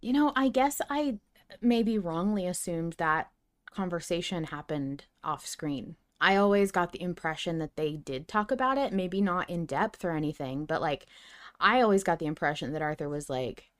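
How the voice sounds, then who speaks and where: A woman's voice: on the low side, expressive, normal speed. A woman co-hosting a podcast in casual discussion, talking through her point conversationally with hedges. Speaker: podcast co-host.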